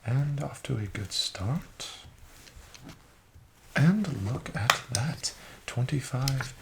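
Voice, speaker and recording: A soft-spoken man doing an ASMR roleplay as a friendly, courteous casino dealer, speaking in a formal, measured and encouraging way. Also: mid-range voice, expressive, gravelly, background noise. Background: card shuffling and clicks.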